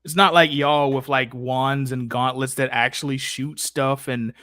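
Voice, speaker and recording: A man's voice: medium pitch, fairly even intonation, a little slow, pushed and bright, no echo. Casual, slangy man hosting, very dry sound. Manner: informal, slangy, playfully exasperated. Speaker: host of a character Q&A livestream. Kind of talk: casual improvised chat.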